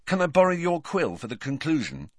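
A glum teenage boy from a storybook voiced by a man, with animated swings in pitch. He is casual, lazy and given to grumbling, in a dry, close recording. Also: a little fast, dry recording. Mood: relieved.

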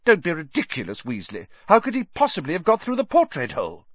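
A man's voice: fairly high pitch, wildly swooping pitch, fast talker, breathy edge, dry recording, highs cut off, pitched above the performer's own voice, heavily acted. A man voicing a stern, indignant older female schoolteacher in an audiobook, with a clipped, scolding manner. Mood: exasperated, stern.

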